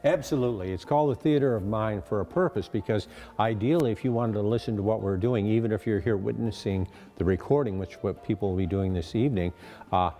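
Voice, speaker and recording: An older man who directs radio theater, explaining things in a measured way in an interview. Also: on the low side, dark tone. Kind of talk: interview answers.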